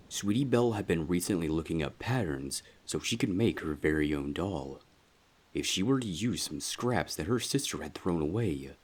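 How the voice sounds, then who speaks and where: A man reading scripted fan fiction as a dramatic story narrator, with a husky voice. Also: very deep voice.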